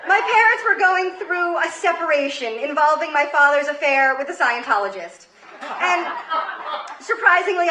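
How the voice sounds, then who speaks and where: A woman from Queens, New York, reading a scripted comedic story live on stage, with theatrical, deliberate delivery and pauses for punchlines. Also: high voice. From Queens, New York, United States. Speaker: comedy show host and storyteller. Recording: roomy live stage recording, faint noise. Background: audience ambience and faint noise.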